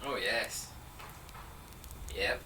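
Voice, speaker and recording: An actor playing the mischievous trickster god Loki in a live show, slow and teasing. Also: male voice, lowish voice, sharp tone, a little hiss or hum, heavily acted. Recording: distant, echoey space with ambience.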